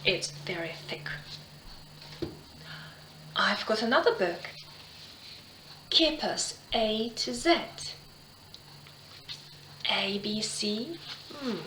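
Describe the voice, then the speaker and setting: A woman reading and chatting to children like a teacher, in simple, expressive phrases with dramatic pauses. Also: medium pitch, reverberant, a little hiss or hum, low-quality recording. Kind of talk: children's storytelling, gentle chat and narration.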